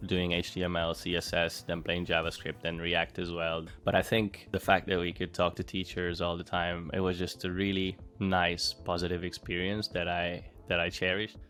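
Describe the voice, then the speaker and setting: A man from Hungary with a low, level voice that moves little in pitch. He answers interview questions in a relaxed, thoughtful way, often pausing with fillers and starting sentences over. Soft music plays faintly underneath. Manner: thoughtful, with frequent fillers and restarts. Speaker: interviewee, former bootcamp student.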